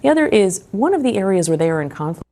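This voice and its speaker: A woman's voice: mid-range voice, very animated, fast talker, warm tone. An American woman, a political journalist, giving analysis in a TV interview.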